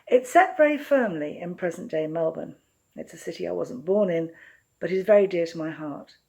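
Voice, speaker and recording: A woman author presenting and reading her own story in an expressive storytelling style. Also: lowish voice, moderate pace, gravelly, breathy edge.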